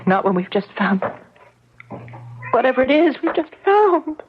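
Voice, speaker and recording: A woman's voice: sits high, very animated, unhurried, noisy background. An actress playing a shaken, newly smitten woman in an old-time radio drama, speaking hesitantly, breathily and earnestly. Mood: anxious. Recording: muffled vintage radio drama recording.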